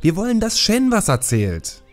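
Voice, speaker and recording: A man's voice: sits high, huge pitch swings, soft background music, voice pushed up. A man voicing an impatient, whiny panda child who pleads and complains in an audiobook. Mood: bored, annoyed. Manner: pleading, drawn-out, exasperated. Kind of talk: audiobook character acting.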